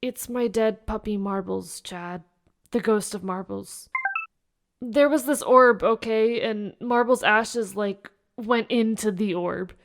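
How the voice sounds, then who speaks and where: A woman's voice: medium pitch, takes it slowly. A woman playing a frightened young gamer friend in an audio drama, speaking in casual, sweary slang. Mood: freaked out. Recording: clearly noisy recording with clicks.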